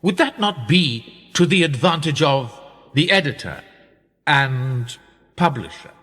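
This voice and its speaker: A man's voice: a little high, big pitch swings, takes it slowly, fairly bright tone, breathy edge, heavily acted. An actor playing a wealthy upper-class British businessman in a radio play, urbane, wry and self-mocking, with formal, rhetorical speech. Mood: genial, persuasive. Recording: reverberant room with long echo.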